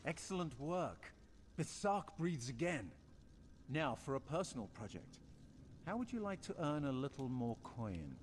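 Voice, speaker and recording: An actor playing a calm male adventurer in a video game, speaking in a measured, businesslike way as he offers paid work. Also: medium pitch, slight background noise. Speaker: non-player character in a video game. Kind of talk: game dialogue.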